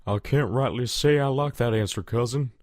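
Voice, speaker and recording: A man's voice: mid-range voice, moderate pace, very noisy, natural voice. A man voicing an easygoing but determined young farm stallion, drawling folksily in a Southern US accent in a fan audio story. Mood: insistent.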